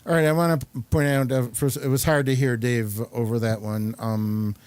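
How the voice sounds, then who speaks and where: A man hosting a show, chatting and commenting casually in an informal, halting way. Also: mid-range voice, flat delivery, a little mellow, smooth. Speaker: show host. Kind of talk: casual studio chat and commentary.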